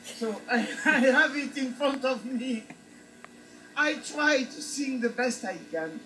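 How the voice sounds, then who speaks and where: An older woman with a French accent talks haltingly into a handheld mic on a cruise ship stage, giggling at herself. Her voice sits very high and her words come out slowly. A live band plays loudly behind her. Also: low-quality recording. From France. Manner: giggly, self-deprecating, halting. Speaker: karaoke contestant. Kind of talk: onstage chat with a host. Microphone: handheld microphone. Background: live band music.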